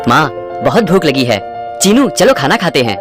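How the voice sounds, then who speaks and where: A man playing a kind, poor young shepherd in an expressive, earnest children's cartoon style. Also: fairly high pitch, normal speed, over loud music. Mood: tired, caring. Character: Ramu, kind poor young shepherd, devoted son and brother. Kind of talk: children's cartoon story acting.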